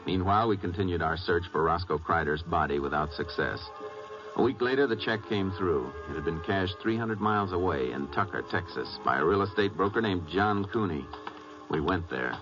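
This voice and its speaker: A steady, authoritative Texas Ranger, with a fairly low voice. He speaks in clipped, courteous sentences. The sound is a dull 1950s radio western recording with music. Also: normal speed. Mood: businesslike. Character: steady, businesslike male Texas Ranger investigator and narrator.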